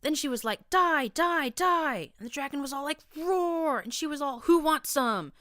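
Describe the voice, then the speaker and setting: A woman voicing an excitable young mare pony who gushes shrilly in an audiobook story. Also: moderate pace, no room sound, studio quality. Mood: gleeful. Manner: shrill, gushing, bouncy retelling.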